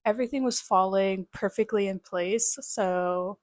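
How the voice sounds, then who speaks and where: A woman's voice: medium pitch, measured pace, darkish tone, dry recording, studio quality. A young woman chatting casually as a book vlogger, rambling with lots of fillers. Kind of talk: casual chat to camera.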